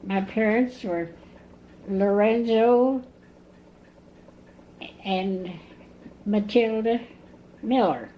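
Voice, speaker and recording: A woman in her nineties from Indiana, recalling her family slowly in an oral history interview at home. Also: mid-range voice. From Indiana, USA. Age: 90s. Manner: chatty, recalls slowly with pauses. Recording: dull lo-fi 1980s cassette tape, faint hiss.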